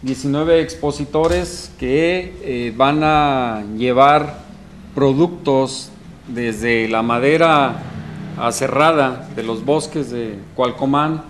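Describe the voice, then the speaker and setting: Mexican official, deliberate with fillers. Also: male voice, medium pitch, slight background noise. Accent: Mexican Spanish. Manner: deliberate, many fillers, lists items. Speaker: federal forestry official. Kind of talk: press conference statement. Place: indoor press room. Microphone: desk microphones.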